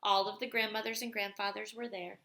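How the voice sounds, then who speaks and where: A woman voicing a patient, wise old grandfather in a storybook, measured and reassuring. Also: medium pitch, flat delivery, bright, forceful tone. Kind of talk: storybook read aloud.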